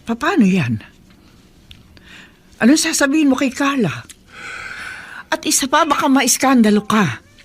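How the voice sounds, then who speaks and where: A suspicious older mother in a radio play, probing with pointed questions, voiced by a woman. Her somewhat high voice rises and falls in lively swings. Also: a little slow, pauses often, breathy edge, exaggerated voice. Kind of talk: radio drama acting.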